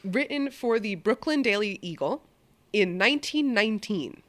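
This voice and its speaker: A woman's voice: medium pitch, lively intonation, normal speed, fairly bright tone. A woman co-hosting a podcast in casual chat. She swears freely and often restarts her sentences. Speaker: podcast co-host.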